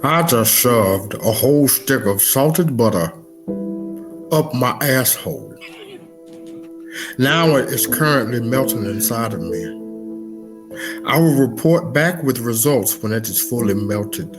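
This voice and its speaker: A man putting on a solemn, grave narrator for a mock-dramatic comedy reading, speaking in a slow, deliberate way. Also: mid-range voice, expressive, over music. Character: solemn dramatic narrator parodying a famous actor, recounting a mishap.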